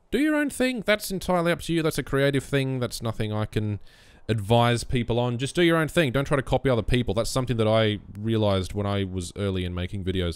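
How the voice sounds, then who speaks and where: A young man with a bassy voice explaining his recording setup in a relaxed, rambling way, close on a USB condenser mic on a boom arm. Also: clear, resonant tone, dry recording. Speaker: YouTube gaming creator. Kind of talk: casual screen-recorded tutorial.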